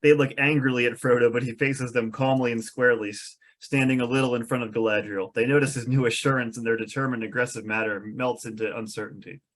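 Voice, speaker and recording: A man's voice: mid-range voice, steady tone, fast, few pauses, fairly bright tone. A man narrating stage directions from a fantasy script at a table read.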